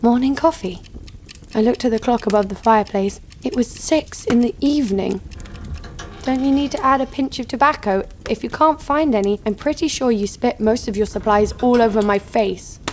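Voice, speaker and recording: An actress playing a tough, sharp-tongued young woman fighter, narrating and speaking in an audio drama with a sardonic edge. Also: on the high side, moderate pace, a little hiss or hum, natural voice. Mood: scornful. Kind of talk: audio drama first-person narration and dialogue.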